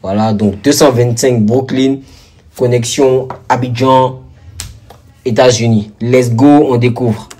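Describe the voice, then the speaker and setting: A man hosting a music reaction show with casual, excited commentary, repeating words and phrases for emphasis. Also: medium pitch, slow, slight background noise. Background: rap music playing faintly.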